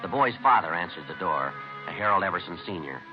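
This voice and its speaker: A calm police sergeant with a low American voice. He speaks briskly and dryly, always polite, in clipped radio drama style. The vintage recording is dull with some hiss. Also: music in the background. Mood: flat narration. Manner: deadpan, matter-of-fact, polite. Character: calm, businesslike police sergeant detective, dry and courteous. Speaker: police detective and narrator.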